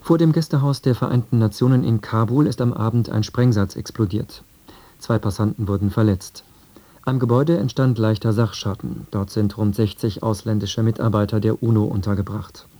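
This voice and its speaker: A man reading a scripted radio news and weather bulletin in a sober, measured manner. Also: lowish voice, steady tone, dark tone. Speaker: radio newsreader.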